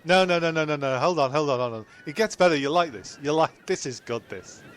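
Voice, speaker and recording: A man's voice: a little high, brisk, lightly acted. A man voicing a flustered male castaway comedian who rants and swears when annoyed. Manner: ranting, sweary.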